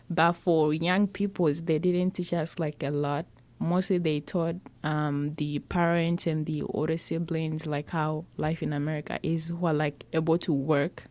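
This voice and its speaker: A teenage girl from Congo, a high school student, telling her own story in an interview, hesitant and full of "like" fillers. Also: a little mellow, no room sound. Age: teens. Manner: hesitant, with frequent "like" fillers and restarts.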